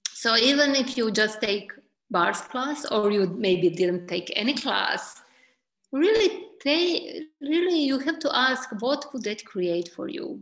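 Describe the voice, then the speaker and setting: Woman, slow halting english, gentle encouraging manner, roomy video call sound. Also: medium pitch. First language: Croatian. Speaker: class organizer and translator.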